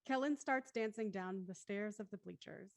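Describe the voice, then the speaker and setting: A woman's voice: mid-range voice, moderate pace, gravelly, dry recording. A woman reading stage directions as scripted narration for a drama.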